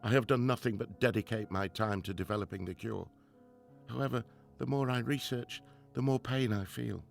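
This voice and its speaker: A man acting in a radio drama as a middle-aged 1920s professor and grieving father, formal and earnest, halting when troubled. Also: medium pitch, creaky, faint music behind, close to an everyday voice. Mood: sorrowful, solemn.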